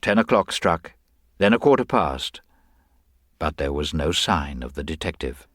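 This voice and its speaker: A man's voice: rumbling low, animated, unhurried, a bit bright, no room sound, clean studio sound. A man reading scripted, dramatic narration of a crime story.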